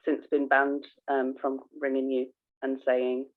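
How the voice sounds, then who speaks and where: A woman's voice: rumbling low, monotone, a little slow. A woman co-hosting a podcast in casual conversation, explaining things informally and often restarting her sentences. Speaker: podcast co-host. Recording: narrow phone-like sound.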